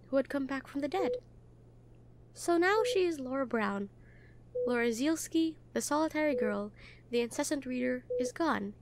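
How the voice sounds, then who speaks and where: A woman reading a literary novel aloud with expressive phrasing, as a voice actor. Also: high voice.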